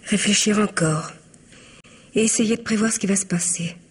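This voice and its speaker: Anxious, husky-voiced young secretary. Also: female voice, mid-range voice, moderate pace. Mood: anxious, insistent. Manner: guarded, correct, insistent. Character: earnest, anxious, proper young female secretary. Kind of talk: 1950s French radio mystery acting.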